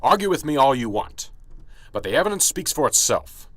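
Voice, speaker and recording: A man's voice: mid-range voice, sing-song, brisk, fairly bright tone, dry recording. A man playing an older, weary but self-assured small-town police sergeant, speaking emphatically in an amateur radio drama. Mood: exasperated, insistent.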